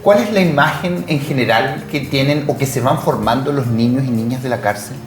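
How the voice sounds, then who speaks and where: A man's voice: medium pitch, a little fast. A man with a Chilean accent co-hosting a television interview, commenting and asking questions conversationally. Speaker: TV show co-host. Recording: roomy echo, music clearly behind. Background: background music.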